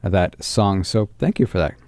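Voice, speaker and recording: A man's voice: low-pitched, moderate pace, crowd noise. A man hosting a live radio interview, speaking into a studio microphone. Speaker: radio host interviewing a guest. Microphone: studio broadcast microphone.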